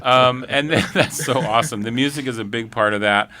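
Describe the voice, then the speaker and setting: Bright-voiced man hosting a casual interview. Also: mid-range voice, a little fast. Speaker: radio show host interviewing guests. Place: radio studio.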